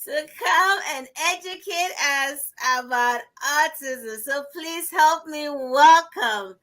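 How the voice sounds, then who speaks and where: A woman hosting an online interview, welcoming her guest in a warm, upbeat way. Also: high voice, takes it slowly. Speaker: host.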